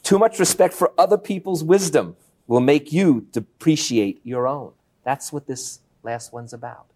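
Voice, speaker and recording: A man's voice: a little high, unhurried, a little mellow. A man hosting an interview show, conversationally quoting points and adding his own comments.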